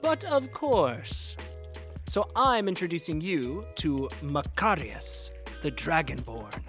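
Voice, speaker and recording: A man's voice: fairly high pitch, big pitch swings, slow, fairly bright tone, faint music behind, full character voice. A young man acting as an eager, easily miffed gamer in a naturalistic audio drama. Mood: showy, earnest.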